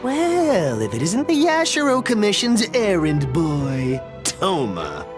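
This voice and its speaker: A man's voice: high-pitched, wildly swooping pitch, slow, over music, heavily acted. A man playing a brash, greedy, resentful merchant in a video game, with a theatrical, sneering, wheedling delivery. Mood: indignant, scheming. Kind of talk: video game character acting.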